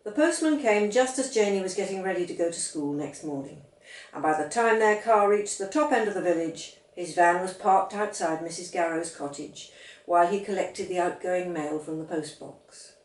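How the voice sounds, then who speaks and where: A woman reading a children's story aloud with expressive, animated delivery. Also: on the low side, moderate pace. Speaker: audiobook reader. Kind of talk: scripted children's story narration. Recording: roomy echo, distant from the mic.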